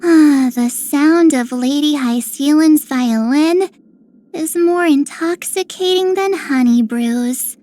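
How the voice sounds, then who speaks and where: Little prophetess for a game: sweet, earnest, very high voice, unhurried pace. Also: female voice, mellow. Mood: curious, cheerful. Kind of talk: scripted game character lines.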